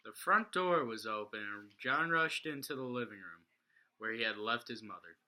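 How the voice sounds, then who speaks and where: A man's voice: lowish voice, expressive, normal speed, pushed and bright. A man reading a children's story aloud. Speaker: storybook reader.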